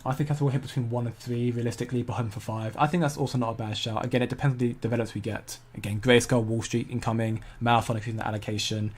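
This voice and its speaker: A man's voice: on the low side, slightly rough. Chatty man hosting a livestream. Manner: chatty, self-correcting, laughs easily at chat. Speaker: crypto livestream host. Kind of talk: casual livestream chat, answering viewer comments.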